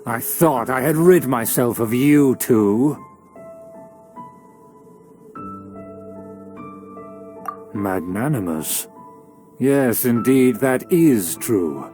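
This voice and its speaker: A man's voice: mid-range voice, lively intonation, slow pace, mellow. A man voicing a pompous, haughty fallen nobleman in a video game, speaking in formal, grandiloquent phrases. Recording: game music behind.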